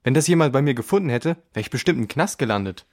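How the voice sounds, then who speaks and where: A man's voice: mid-range voice, animated, quick pace, a little mellow. A man playing an offhand, evasive boy thief in a children's audio drama, with slangy, nonchalant talk. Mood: defensive, cagey. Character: cagey boy thief from a poacher gang, offhand.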